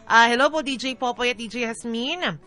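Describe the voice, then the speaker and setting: Bright-voiced, playful Filipino woman DJ. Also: a little high, normal speed. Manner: playful, teasing, conversational. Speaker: radio DJ and co-host. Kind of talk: casual radio banter and advice.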